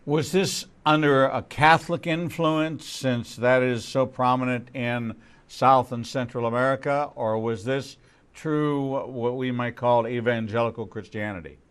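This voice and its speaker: A man hosting an interview, asking questions slowly and haltingly, repeating words as he searches for them. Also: medium pitch, fairly even intonation, fairly bright tone, clear, resonant tone. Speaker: rabbi host.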